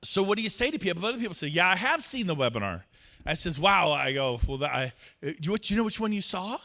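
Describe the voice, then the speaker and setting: High bright-voiced guy on stage, super lively, acts out little dialogues. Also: fast talker. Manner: animated, acts out both sides of conversations. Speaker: real estate speaker. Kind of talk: motivational seminar talk. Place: event stage.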